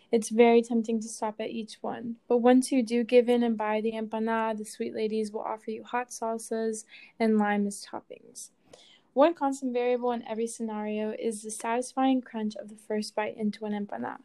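Young woman, from Colombia, podcast co-host, reading a script, mellow tone, slightly high voice.

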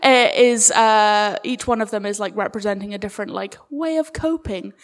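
A woman chatting casually as a guest at a live interview, jokey, informal and halting as she explains her work. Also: mid-range voice. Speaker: guest, audio fiction creator. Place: live event room with audience.

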